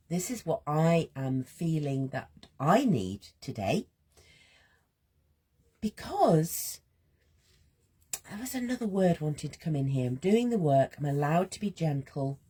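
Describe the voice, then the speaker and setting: A woman with a gentle, husky, slightly strained voice, musing aloud slowly with pauses as she hosts a reflective creative session while drawing. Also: low voice. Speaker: host of a creative healing art session.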